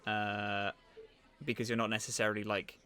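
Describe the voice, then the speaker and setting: A man narrating as game master in an improvised tabletop roleplaying session, halting and thoughtful as he goes. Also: on the low side, flat delivery, bright, forceful tone.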